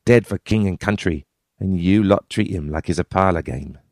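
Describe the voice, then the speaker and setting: A man voicing a sharp-tongued Cockney prospector with a Cockney accent, scolding his companions bluntly. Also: deep voice, normal speed, no room sound, clean studio sound.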